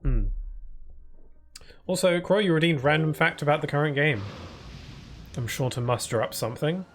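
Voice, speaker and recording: A man's voice: fairly high pitch, normal speed, a bit bright. Rambling male streamer, faint music behind. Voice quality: voice he calls a permanent cold voice. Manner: rambling, loose with many fillers and restarts; answers viewer chat aloud. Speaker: game streamer. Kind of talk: casual live-stream chat while playing.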